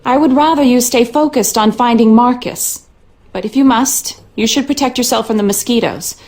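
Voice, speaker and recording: An actress playing the worried, weary lady of a rundown manor in a video game, speaking in a measured, dry, articulate way. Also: a little high, darkish tone, lightly acted. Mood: exasperated, worried.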